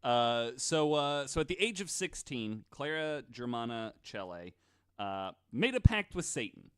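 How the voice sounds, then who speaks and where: A man's voice: mid-range voice, big pitch swings, a little slow, fairly bright tone, smooth, no room sound, studio quality. A man hosting a podcast, casually retelling stories with wry joking asides. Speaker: podcast host.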